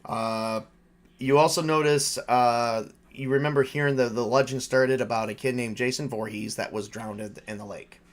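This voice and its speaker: A man's voice: medium pitch, fairly even intonation, normal speed. Male game master, mid-range voice, informal tabletop narration, conversational delivery.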